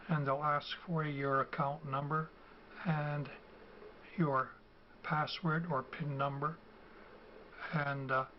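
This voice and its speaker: An older man who has a cold and is losing his voice, explaining things slowly and haltingly with many ums and uhs. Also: a little high. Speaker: video host. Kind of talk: casual explanatory talk. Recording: dull, lo-fi home recording with faint ambient noise.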